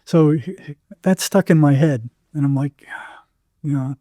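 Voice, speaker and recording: A man's voice: on the high side, a little slow, mellow, dry recording, studio quality. An older American man telling anecdotes casually as an interview guest, acting out bits of dialogue as he goes.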